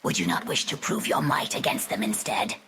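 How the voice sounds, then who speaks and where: A woman playing a formal, loyal undead servant with a hoarse, breathy voice in a video game cutscene. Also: bright, forceful tone, natural voice. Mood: composed, persuasive. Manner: formal, measured.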